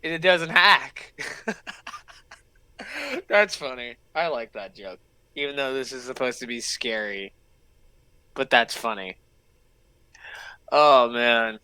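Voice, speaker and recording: A host of uncertain sex giving casual reaction commentary, repeating remarks in a drawn-out way. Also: medium pitch, pushed and bright, smooth, no echo.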